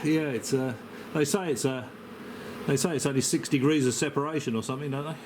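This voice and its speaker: A man's voice: medium pitch, fairly quick. Hesitant man over background noise. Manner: hesitant, rambling. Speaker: amateur radio operator. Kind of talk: casual radio chat. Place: by a radio set, filmed on handheld camera. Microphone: camera microphone held near the operator.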